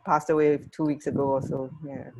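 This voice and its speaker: A woman co-hosting a radio show, chatting casually and haltingly over an internet connection. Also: sits low, little pitch movement, darkish tone, a little hiss or hum, rough recording. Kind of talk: casual chat.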